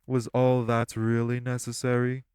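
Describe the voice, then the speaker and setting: A man's voice: medium pitch, completely flat delivery, very noisy, close to an everyday voice. A man voicing a composed, condescending male crime leader, in an audio roleplay. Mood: cold. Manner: measured, condescending, clipped.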